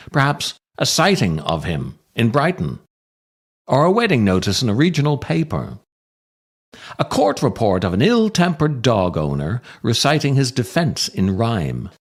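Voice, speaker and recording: A man reading his own scripted comic writing as a podcast host, theatrical and wry, with deliberate dramatic pauses. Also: mid-range voice, wildly swooping pitch.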